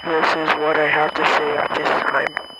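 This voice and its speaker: Male emergency announcer, highish pitch, completely flat and solemn, buried in loud hum and alarm-like noise. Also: normal speed.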